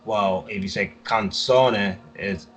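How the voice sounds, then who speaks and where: A man's voice: lowish voice, slow, a bit bright. A young man co-hosting a podcast, talking in a loose, rambling way and hedging with constant "like". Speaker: podcast co-host. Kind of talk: casual conversation.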